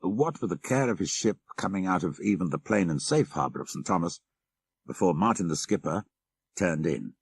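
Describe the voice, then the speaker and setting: A man reading a classic horror story as an audiobook narrator, with measured, literary phrasing and dramatic delivery. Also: low-pitched, expressive, vocal fry, slightly rough. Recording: 1990s audiobook cassette.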